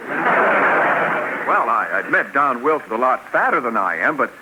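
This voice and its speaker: A man's voice: medium pitch, sing-song, measured pace. A man in a 1940s radio comedy, an announcer who talks in an eager, fawning way. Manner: eager, fawning, with big laughs. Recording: noisy vintage radio broadcast.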